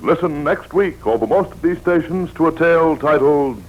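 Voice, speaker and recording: A man's voice: a little high, expressive, moderate pace, highs cut off, over music. A man announcing a 1940s radio show in a formal, theatrical style.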